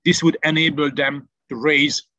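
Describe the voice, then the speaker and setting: Bright-voiced Hungarian man briefing. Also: a little high, steady tone, moderate pace, no room sound. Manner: methodical, frequent "uh" fillers. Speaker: military analyst. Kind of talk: briefing over a shared map. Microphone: video call. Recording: dull lo-fi online call audio.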